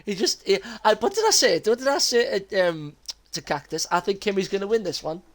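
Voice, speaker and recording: A man's voice: high voice, expressive, brisk. A young man hosting post-race interviews on a racing league stream, chatty and jokey. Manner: chatty, laughs a lot, jokey. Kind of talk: casual live-stream interview chat.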